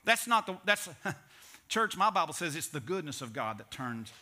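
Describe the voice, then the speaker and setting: Animated high-voiced preacher. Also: male voice, normal speed. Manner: animated preaching, swinging between loud emphasis and low hushed asides. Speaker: pastor. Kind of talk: sermon. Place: church stage.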